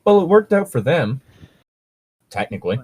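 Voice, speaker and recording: A man's voice: on the high side, very animated, normal speed, a little mellow, slightly rough, no room sound. A man chatting casually as a co-host, explaining things in a rambling, sweary way. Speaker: co-host reading and discussing fan fiction. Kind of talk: casual chat and commentary.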